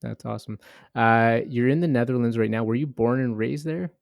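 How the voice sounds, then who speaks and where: A man's voice: mid-range voice, fairly quick, gravelly, no echo, clean studio sound. A man hosting a podcast, speaking in an informal, conversational way. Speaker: podcast host. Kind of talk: podcast intro and casual interview chat.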